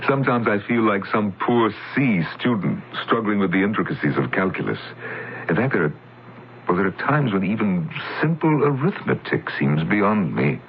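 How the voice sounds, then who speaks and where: An actor playing a troubled male scientist who narrates in a halting, confessional way in an old radio drama. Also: on the low side, sing-song, a little hiss or hum. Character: troubled male scientist haunted by his past. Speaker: narrator and lead character. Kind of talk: 1970s radio drama acting. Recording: tinny old radio broadcast with music.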